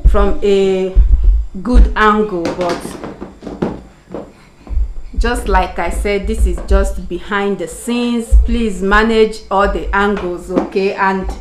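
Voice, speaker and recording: A woman's voice: mid-range voice, fairly even intonation, slow pace, over music. A young Nigerian woman with a Nigerian accent, chatting to viewers while she cooks in her home kitchen. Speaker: cooking vlogger. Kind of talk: casual cooking demonstration. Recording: echoey kitchen with clear background noise.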